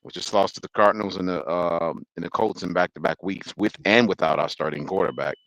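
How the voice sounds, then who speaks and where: A man talking football as a guest fan, rambling and halting with frequent chuckles. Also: low voice, vocal fry, a bit bright, dry recording, poor audio. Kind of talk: casual sports chat.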